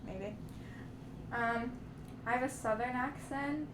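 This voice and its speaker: A woman's voice: fairly high pitch, takes it slowly. A young woman vlogger in easygoing casual chat, full of fillers. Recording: echoey room, mic at a distance, background noise.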